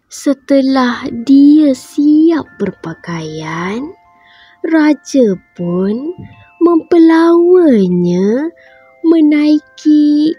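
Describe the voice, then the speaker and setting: A woman reading a bedtime story for children, in a slow, gentle, sing-song way with a breathy voice. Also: high-pitched.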